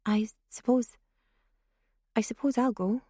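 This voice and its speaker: A woman's voice: fairly high pitch, brisk, dark tone, breathy edge, no room sound, clean studio sound, voice pushed up. A woman doing a timid nervous teen girl. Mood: hesitant. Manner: hesitant, nervous. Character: timid teenage girl in otter makeup.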